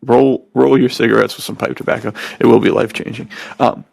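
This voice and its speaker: A male podcast host with a heavily fried, gravelly voice, recorded close and dry. He talks in a laid-back, slangy way, as if chatting with a buddy. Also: mid-range voice, level tone, a little fast, darkish tone, dry recording. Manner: laid-back slangy talk, often says dude. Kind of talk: casual podcast chat.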